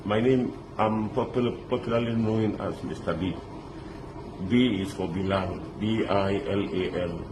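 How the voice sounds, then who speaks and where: Halting middle-aged man. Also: lowish voice, roomy sound, noisy background. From Lebanon. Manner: halting, with restarts and repeated words. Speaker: interviewee recounting an ordeal. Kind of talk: emotional first-person account.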